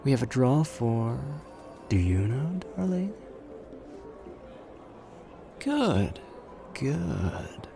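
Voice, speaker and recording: Music plays clearly under a mid-pitched male voice. It is a man acting out a suave, self-assured gambler from an ASMR audio roleplay. He teases and flirts intimately, stretching out his phrases with a calculating confidence. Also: very animated, full character voice. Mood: coaxing, intimate. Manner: teasing, intimate, drawn-out phrasing with pet names.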